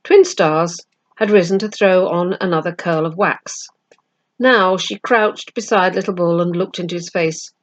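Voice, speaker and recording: A woman reading a children's story aloud with measured narration. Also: on the low side. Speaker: storybook reader.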